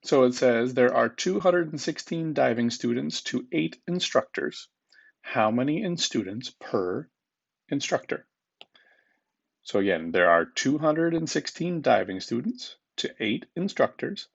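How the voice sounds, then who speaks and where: A man's voice: mid-range voice, animated, unhurried, creaky, slightly rough, highs cut off. A man speaking as a teacher, reading test questions aloud to students in an informal, instructive manner and repeating them.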